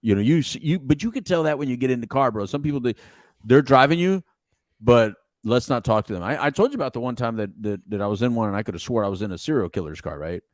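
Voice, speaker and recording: A man whose first language is Spanish, co-hosting a podcast, telling animated stories with lots of restarts and laughs. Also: medium pitch, fast talker, dry recording, studio quality. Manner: animated storytelling, laughs often, many restarts. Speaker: podcast co-host. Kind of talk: casual conversation.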